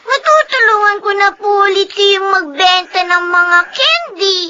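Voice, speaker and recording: A performer playing a sweet, sickly, obedient young grandchild in a Filipino radio drama, speaking slowly, meekly and politely. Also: extremely high pitch, expressive, sharp tone, heavily acted. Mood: obedient, affectionate. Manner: polite, meek, slow. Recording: dull, slightly noisy radio recording.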